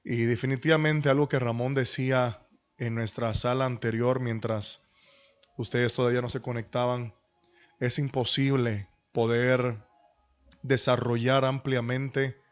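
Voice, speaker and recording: A middle-aged man, speaking as a pastor in a humble, courteous and deliberate way to an online class. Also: mid-range voice, level tone, smooth, soft background music. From Dominican Republic and New York. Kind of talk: introductory talk at an online church leadership class. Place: online video call.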